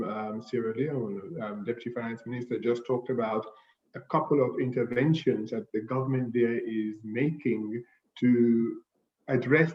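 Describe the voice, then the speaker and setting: A man, the report's author, giving a measured webinar answer with frequent filled pauses over a video call. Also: mid-range voice. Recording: muffled echoey video call audio.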